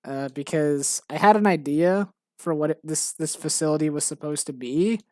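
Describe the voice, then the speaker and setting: Woman streaming her game dev stuff, rambly and self-mocking, lowish voice, dry close mic. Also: moderate pace, dark tone, no room sound. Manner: rambling, lots of fillers and restarts, self-mocking. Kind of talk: casual rambling stream chat.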